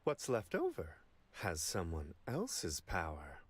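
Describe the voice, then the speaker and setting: A man's voice: low voice, huge pitch swings, takes it slowly, breathy edge, dry recording, heavily acted. A man voicing a courtly, unflappable celestial attendant in an anime video game, with a prim, polite, theatrically lilting delivery. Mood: intrigued, composed.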